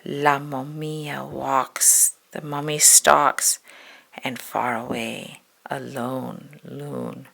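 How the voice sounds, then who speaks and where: Very slow, creaky woman reading spookily. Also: rumbling low, little pitch movement. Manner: slow, hushed spooky delivery with stretched words and whispers. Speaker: storybook reader. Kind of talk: dramatic read-aloud of a spooky children's poem.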